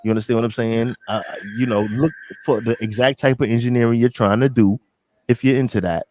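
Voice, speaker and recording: A man's voice: medium pitch, fairly quick, no room sound. A middle-aged man from New York is talking on a podcast, loose and emphatic, circling back over his points and swearing as he goes. His voice is in the middle of the usual range for a man. The sound is close and dry, with almost no room around it. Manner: animated, emphatic, repeats himself, swears freely. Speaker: podcast co-host. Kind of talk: casual podcast chat.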